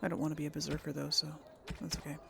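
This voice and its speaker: A woman's voice: low-pitched, little pitch movement, racing, gravelly, slight background noise. A woman hosting a casual game playthrough, chatting and thinking aloud as she plays. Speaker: gaming video host. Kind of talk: casual playthrough commentary with role-played dialogue.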